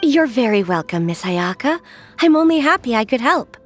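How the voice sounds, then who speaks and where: A woman's voice: a little high, very animated, moderate pace, over music. An actress playing a gracious young lady of rank in a video game, speaking formally and politely.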